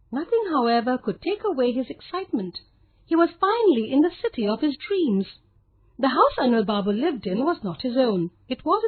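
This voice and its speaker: A woman storyteller with a high, breathy-husky voice reads a children's tale. Her pitch moves in a lively, animated way. The sound is narrow and lo-fi, like a phone line. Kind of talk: scripted children's story narration.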